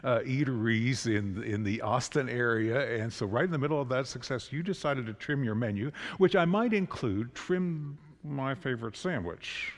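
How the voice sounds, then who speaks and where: A man's voice: medium pitch, normal speed, few pauses. An older man, a pastor, interviewing a guest during a church service in a conversational way. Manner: conversational, standing and gesturing. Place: church sanctuary. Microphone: stand mic. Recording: long reverb of a church hall.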